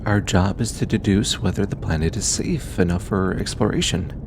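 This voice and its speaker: A man playing a gentle, earnest alien scientist in an intimate audio drama, bookish and easily flustered, with a hesitant, softly stammering delivery. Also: normal speed. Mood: earnest, explanatory. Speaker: voice actor. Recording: steady low rumbling background noise.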